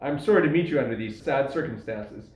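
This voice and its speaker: A man's voice: mid-range voice, lively intonation, a little fast, a little mellow, echoey room, lightly acted, low-quality recording. A man playing a suspicious, easily bewildered police constable in a stage farce, questioning people formally and indignantly. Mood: sympathetic. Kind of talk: stage farce play reading.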